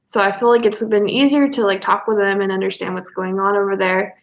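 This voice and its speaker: A woman speaking as a panelist in a casual chat, relaxed and trailing off as she finishes. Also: mid-range voice, a little fast, gravelly.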